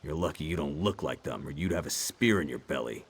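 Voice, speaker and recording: A blunt, menacing orc guard in a fantasy game. The voice is very deep and husky, terse and wary of outsiders. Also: male voice, animated, brisk, no room sound, heavily acted. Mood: stern warning. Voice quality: husky, rough low voice. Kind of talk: fantasy video game dialogue.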